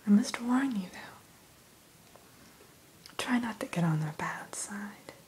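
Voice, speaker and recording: A woman speaks entirely in a soft, breathy whisper, very slowly and gently. She plays a courteous fantasy shopkeeper in an ASMR roleplay. A faint steady hiss sits behind her voice. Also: medium pitch. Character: gentle, courteous female keeper of a dragon hatchery.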